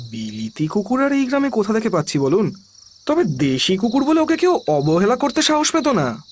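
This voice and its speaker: A man's voice: sits high, a little hiss or hum. A man playing a deferential, talkative villager in an audio horror drama, earnest and eager to help. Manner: respectful, earnest, animated.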